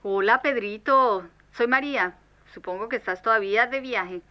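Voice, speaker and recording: A woman's voice: a little high, normal speed, natural voice. A woman playing a friendly, adventurous young caller leaving a scripted answering-machine message. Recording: dull, noisy answering-machine line.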